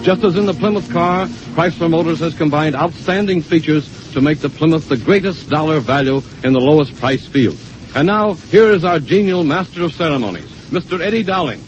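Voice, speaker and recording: A man's voice: fairly high pitch, fairly quick, noisy background. An American man announcing from a script in a formal, promotional 1930s radio style. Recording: muffled vintage 1930s radio broadcast, music behind.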